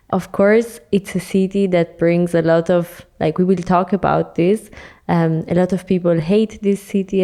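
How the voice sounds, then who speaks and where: A young woman from Milan speaks English as a second language, with Italian as her native tongue. Her voice is lowish and mellow, and she chats easily as a guest, laughing often. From Milan, Italy. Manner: laughs a lot, light and chatty. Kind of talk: casual interview chat.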